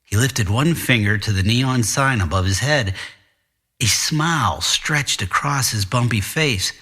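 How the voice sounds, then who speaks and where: A man reading scripted narration as an author and podcast host, in a measured, wry manner. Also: medium pitch, pushed and bright. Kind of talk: scripted first-person fiction narration.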